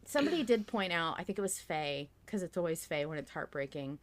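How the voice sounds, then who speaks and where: Woman podcast host, kinda low voice, casual and sweary, argues playfully w lots of emphasis. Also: very animated, a little fast. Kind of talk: casual fan discussion chat.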